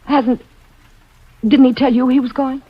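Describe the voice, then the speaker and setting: Anxious, defensive wife in radio suspense; high-pitched, on a muffled vintage recording with music. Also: female voice, steady tone, unhurried, no room sound. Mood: uneasy, pleading. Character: anxious, defensive wife who narrates her suspicions. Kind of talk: old-time radio suspense acting.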